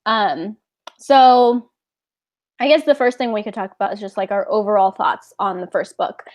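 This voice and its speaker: Woman, casual book-club co-host, chatty and relaxed, mid pitch, talking into a home computer mic. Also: normal speed. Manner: relaxed, chatty, uses lots of "like". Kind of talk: casual chat.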